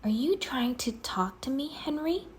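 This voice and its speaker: A woman voicing an eager, trusting young girl in a children's storybook, with an excitable, emphatic manner. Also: high-pitched, a little slow, slight background noise, voice pushed up. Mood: curious, tender. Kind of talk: children's storybook read-aloud.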